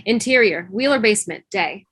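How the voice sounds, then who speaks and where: A woman's voice: medium pitch, expressive, a little fast, a bit bright, slightly rough, no room sound. A woman reading stage directions as scripted narration for a table read over a video call.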